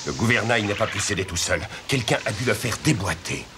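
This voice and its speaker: An actor voicing a loyal, cautious male ship's officer with a gravelly voice, speaking earnestly in an animated cartoon. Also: lowish voice, brisk, very noisy, lightly acted. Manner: earnest, warning.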